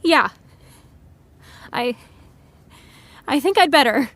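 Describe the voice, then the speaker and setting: An actress playing an outspoken, indignant young woman in an audio drama, halting at times and bluntly forceful. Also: high voice, lively intonation, heavily acted. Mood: resigned. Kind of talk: naturalistic audio drama acting.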